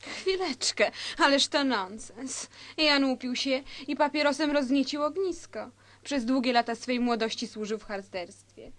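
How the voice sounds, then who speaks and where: An actress plays a scheming wife in a satirical radio drama. Her voice is high, with an affected, coquettish delivery and a readiness for indignation. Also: a little slow, fairly bright tone. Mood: indignant. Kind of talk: satirical radio play acting.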